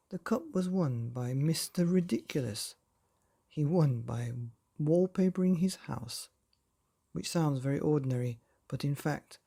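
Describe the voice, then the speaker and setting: A man narrating a children's bedtime storybook in a playful, expressive way, often putting questions to the listener. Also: on the high side, vocal fry.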